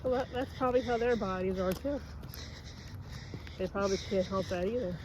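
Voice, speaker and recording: Low-key woman in casual chat. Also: a little fast, a little mellow, muffled recording, slight background noise. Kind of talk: casual chat with a child while walking.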